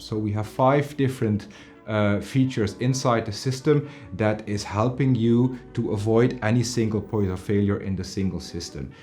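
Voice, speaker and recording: Middle-aged man, lowish pitch, product presenter, explanatory delivery, faint music behind. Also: a little mellow. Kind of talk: semi-scripted product presentation to camera.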